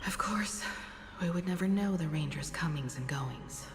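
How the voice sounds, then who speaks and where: An actress playing a mature, gracious crew leader in a video game, calm, measured and diplomatic. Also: female voice, sits low, long reverb tail, over music, lightly acted. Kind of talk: scripted video game voice acting.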